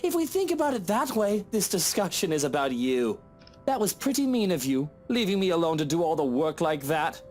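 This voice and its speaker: An actor playing a sardonic, self-pitying husband who drinks, drawling with mock affection in a visual novel. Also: male voice, a little high, very animated, a little fast, darkish tone. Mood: sardonic, needling.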